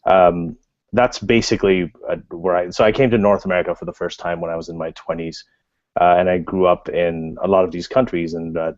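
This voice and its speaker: A man's voice: low voice, steady tone, a little fast, no room sound, lo-fi sound. A man in his thirties from Pakistan, a blogger and podcast guest, recounting his background in loose conversational speech. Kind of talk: casual podcast interview.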